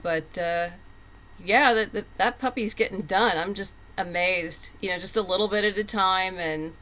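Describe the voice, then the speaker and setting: A woman's voice: mid-range voice, moderate pace, sharp tone, poor audio. A woman talking casually as an interview guest, explaining things in a rambling way with self-interruptions. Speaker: podcast guest, comics writer.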